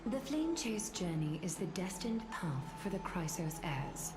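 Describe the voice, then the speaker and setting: A woman voicing a composed, authoritative guide in a fantasy game, speaking in a formal, measured, grave manner. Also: on the low side, very animated, roomy sound, over music. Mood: stern, measured. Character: solemn, stately female guide of destined heroes, composed and authoritative. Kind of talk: fantasy video game acting.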